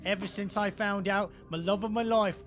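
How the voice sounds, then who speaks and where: A man's voice: high-pitched, steady tone, moderate pace, a bit bright, faint music behind. A man voicing a washed-up, crippled former rock singer in a tabletop game, rambling and self-pitying in a shoddy put-on accent. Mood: wistful, self-pitying.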